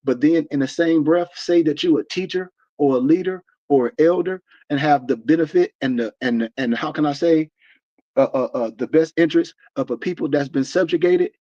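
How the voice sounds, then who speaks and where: A man's voice: fairly high pitch, normal speed, mellow. An American man in his forties hosting a livestream discussion, talking in a preachy, emphatic way with many restarts. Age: 40s. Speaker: discussion host. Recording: dull lo-fi stream audio.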